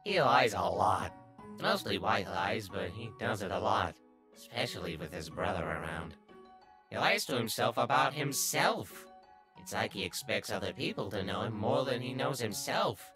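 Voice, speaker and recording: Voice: medium pitch, sing-song, moderate pace, fairly bright tone, faint music behind. A performer voicing a sly, obsessively curious little talking flower in a comic dub, brooding and easily exasperated. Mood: puzzled, scheming. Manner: brooding, scheming, bursting into exasperated outbursts. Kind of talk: comic dub character narration and dialogue.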